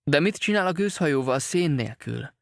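A man's voice: on the high side, animated, vocal fry, dry recording, clean studio sound, pitched above the performer's own voice. A man voicing a worried, talkative boatman's wife, rambling on about her absent husband in an anxious, chattering way. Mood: fretful chatter.

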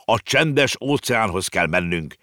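A man's voice: on the low side, expressive, sharp tone, no room sound, studio quality, voice pushed up. Man doing a bossy old uncle barking orders. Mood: commanding. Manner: barked commands.

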